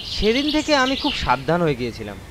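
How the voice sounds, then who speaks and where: A man with a high voice narrates a first-person horror tale as a dramatised reading. Clear outdoor ambience with birds runs behind his words. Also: wildly swooping pitch, fast, background noise. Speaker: story narrator. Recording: constant nature ambience effects behind voice.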